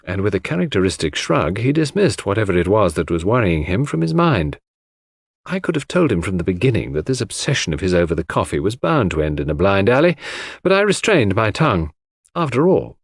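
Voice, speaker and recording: A man's voice: lowish voice, very animated, brisk, husky, no room sound, clean studio sound. A man reading scripted literary fiction as a dramatic audiobook narrator.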